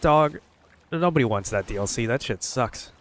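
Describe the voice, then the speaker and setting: A man's voice: mid-range voice, expressive, moderate pace, a bit bright, slight background noise. A man streaming a horror game, grumbling casually and swearing, wary of what lies ahead. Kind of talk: casual livestream commentary.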